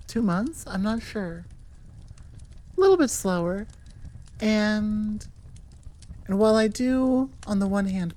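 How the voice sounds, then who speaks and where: A woman's voice: medium pitch, a little mellow. A woman hosting her audio channel, chatting casually to listeners in a rambling, informal way and often interrupting herself. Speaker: audio roleplay creator and host. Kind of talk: casual, improvised chat to listeners.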